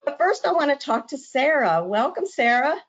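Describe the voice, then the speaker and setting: A woman's voice: sits high, big pitch swings, normal speed. Woman trainer hosting a webinar, upbeat and chatty, somewhat dull call sound. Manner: upbeat, conversational with fillers. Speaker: sales and product trainer. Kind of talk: webinar presentation.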